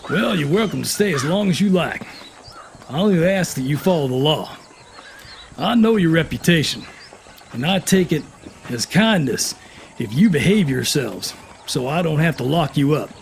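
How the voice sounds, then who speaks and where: Old west sheriff guy acting, firm but polite, gravelly american drawl, western audio drama. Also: on the high side, lively intonation, moderate pace, long pauses, a little hiss or hum. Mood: firm, courteous. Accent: American, Southern or Western drawl.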